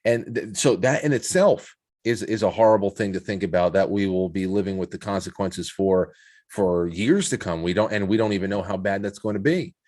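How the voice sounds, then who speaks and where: A man speaking reflectively in a conversational interview, haltingly repeating words as he builds his points. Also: deep voice, a little mellow. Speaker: podcast guest, show host.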